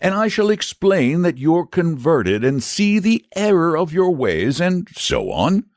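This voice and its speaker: A man's voice: a little high, huge pitch swings, a little slow, darkish tone, no room sound, studio quality, voice pushed up, exaggerated voice. Man putting on a lofty, earnest knight. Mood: persuasive. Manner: persuasive, earnest. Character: earnest, patient young knight.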